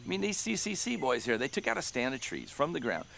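A man's voice: medium pitch, wildly swooping pitch, fast, creaky, fairly bright tone, full character voice. A man playing a wry, grumbling 1930s folk singer in an audio drama, rambling in a folksy, ironic way. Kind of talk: period audio drama acting.

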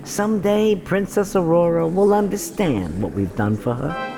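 A woman playing a calm, matronly, no-nonsense good fairy in an audio fairytale, measured and gently firm. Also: lowish voice, over music. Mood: consoling. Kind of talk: audio theatre fairytale acting.

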